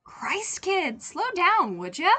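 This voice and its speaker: A woman's voice: very high-pitched, sing-song, slow, bright, forceful tone, pitched above the performer's own voice, heavily acted. Woman playing a blunt, folksy older lady. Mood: blunt concern. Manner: blunt, colloquial, teasing.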